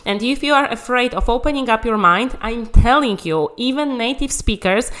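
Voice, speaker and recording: A woman's voice: fairly high pitch, expressive, normal speed, fairly bright tone. Emphatic woman coaching. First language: Polish. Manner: emphatic, encouraging, repeats key phrases. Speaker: English conversation coach. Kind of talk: motivational language-learning talk.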